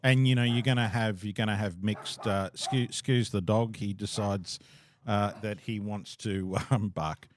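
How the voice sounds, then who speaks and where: A man's voice: fairly low pitch, fairly even intonation, measured pace, slight background noise. A man hosting a casual podcast interview, rambling with lots of fillers and frequent laughs, talking over a call. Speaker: podcast host.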